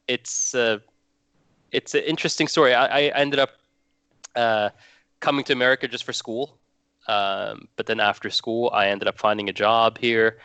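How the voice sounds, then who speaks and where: A man's voice: lowish voice, fairly bright tone, smooth, no echo. A young man from Norway chatting casually as a guest, rambling and restarting his phrases. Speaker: guest hobbyist. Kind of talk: casual chat.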